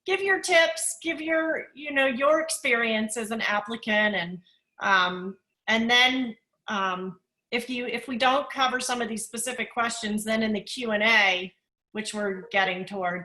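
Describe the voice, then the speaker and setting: A woman's voice: a little high, measured pace, pushed and bright. A woman moderating an online panel, speaking hesitantly with many hedges and fillers. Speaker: webinar moderator.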